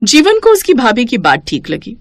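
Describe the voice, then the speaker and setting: A woman's voice: on the high side, huge pitch swings, normal speed. A woman narrating a scripted story as its storyteller.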